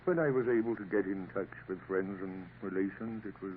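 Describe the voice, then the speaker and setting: An actor playing a gentle, weary older father in a 1950s radio drama, soft-spoken and wistful. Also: low-pitched, brisk, natural voice. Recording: tinny old radio broadcast, faint hiss.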